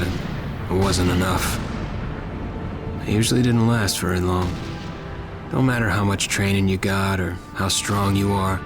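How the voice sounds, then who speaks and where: A man's voice: low voice, natural voice. An actor playing a weary, reflective young US Marine, with an American accent, narrating his war in a plain, somber way. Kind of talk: scripted first-person war narration. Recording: loud music behind the voice.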